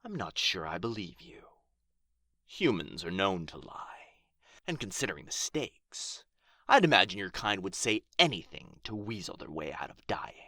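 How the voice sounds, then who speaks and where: Man acting as menacing wounded dragon, lowish voice, hard forceful edge, taunting, theatrical, ASMR roleplay, close dry sound. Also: big pitch swings, dry recording, exaggerated voice. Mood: suspicious, threatening. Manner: theatrical, taunting, drawn-out menacing delivery with whispers. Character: proud, menacing, wounded male dragon guarding his gold.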